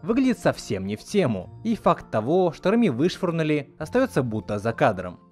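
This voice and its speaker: Animated man narrating a video essay. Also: fairly high pitch, normal speed, soft background music. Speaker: video host.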